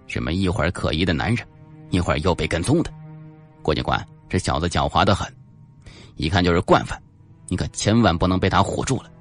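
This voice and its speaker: A man voicing a blustering, stubborn, self-assured male police officer in an audiobook. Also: sits low. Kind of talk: audiobook character acting.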